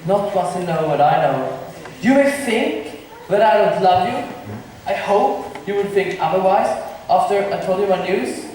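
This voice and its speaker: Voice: medium pitch, lively intonation, a little slow, a little mellow, close to an everyday voice. A student actor performing simplified Shakespeare on stage in an amateur drama club play, delivering lines in an everyday voice. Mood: scheming, earnest. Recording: echoey hall from far off, faint noise.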